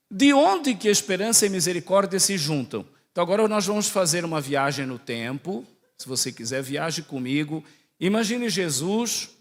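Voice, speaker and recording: High-voiced Brazilian priest in an echoing hall. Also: male voice. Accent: Santa Catarina Brazilian, close to Portuguese. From Santa Catarina, southern Brazil. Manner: didactic, asks the audience questions and checks in often. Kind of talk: conversational religious talk to a congregation. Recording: echoey hall with long reverb.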